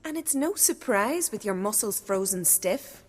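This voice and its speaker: A woman's voice: medium pitch, expressive, measured pace. Nosy, kindly young village girl: folksy, teasing, swooping intonation, reverberant space, video game role. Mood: brisk, insistent. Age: young adult. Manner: folksy, dialect-heavy, teasing.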